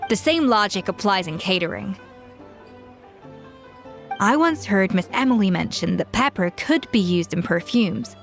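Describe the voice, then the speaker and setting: A woman's voice: medium pitch, expressive, measured pace, pauses often. A woman voicing an earnest, eager young culinary enthusiast in an anime game, with music behind her. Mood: thoughtful, eager.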